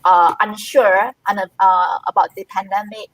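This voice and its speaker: A woman's voice: medium pitch, fairly even intonation, a little slow, no echo, low-quality recording. A woman university representative giving earnest, encouraging advice in a live online Q&A over a video call.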